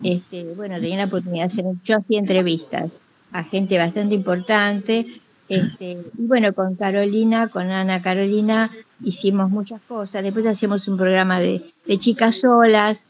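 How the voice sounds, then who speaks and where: An Argentine woman tells of her own experience in a casual way. She is modest and halting, padding her sentences with fillers, and her pitch is average for a woman. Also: fairly even intonation, darkish tone, slight background noise, low-quality recording. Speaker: workshop participant, teacher. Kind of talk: casual personal account. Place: online group call.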